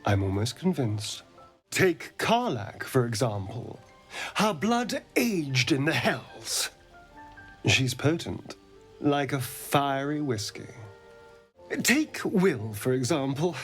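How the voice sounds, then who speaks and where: An actor playing a flirtatious, refined vampire man in a video game, with a theatrical, teasing drawl and breathy asides. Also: mid-range voice, sing-song, slow, fairly bright tone, faint music behind. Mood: relishing, musing. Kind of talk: video game companion dialogue.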